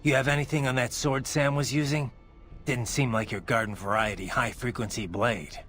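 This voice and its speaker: An actor playing a blunt, wry cyborg mercenary in a video game, speaking in a terse, dry manner. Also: male voice, mid-range voice, steady tone, moderate pace, a bit bright, soft background music, lightly acted. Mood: dry, curious. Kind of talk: video game cutscene voice acting.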